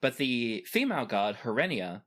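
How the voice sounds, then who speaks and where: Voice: mid-range voice, sing-song, moderate pace, fairly bright tone. A game master improvising narration for a tabletop role-playing game in a conversational way.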